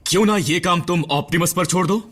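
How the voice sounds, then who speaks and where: A man voicing a grizzled old male robot medic in a dubbed cartoon, recounting history in a measured, lecturing, somber way. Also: sits high. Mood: dry. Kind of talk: Hindi cartoon dub. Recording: studio dub with loud score.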